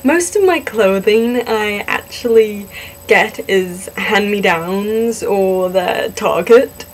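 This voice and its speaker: A young woman, born in Singapore and raised in the southwestern US, casually answering questions about herself to a camera, chatty and self-deprecating with many pauses and ums. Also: mid-range voice, a little hiss or hum, poor audio. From born in Singapore, grew up in the southwest US. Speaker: vlogger in a fan collab channel.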